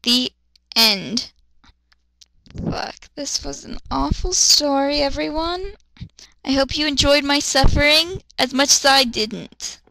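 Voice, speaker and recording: A woman's voice: sits high, slow pace, gravelly, sharp tone, no room sound, low-quality recording. A woman giving a dramatic reading with exasperated, sarcastic, foul-mouthed asides. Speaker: host of dramatic fan fiction readings.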